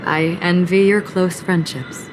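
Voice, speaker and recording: Aloof female game wanderer, acted, low, very slow, soft-spoken, music behind. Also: fairly even intonation, noisy background, close to an everyday voice. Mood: detached, wistful. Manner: detached, unhurried. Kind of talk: video game cutscene acting. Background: background music.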